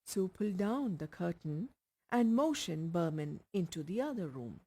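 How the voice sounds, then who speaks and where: A woman's voice: fairly low pitch, very animated, moderate pace, dark tone. A woman with an Indian accent reading a short story aloud as scripted narration. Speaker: audiobook reader.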